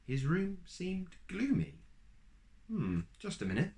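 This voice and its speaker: A man reading a children's storybook aloud, animated, with asides to the listener. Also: high voice, slow pace.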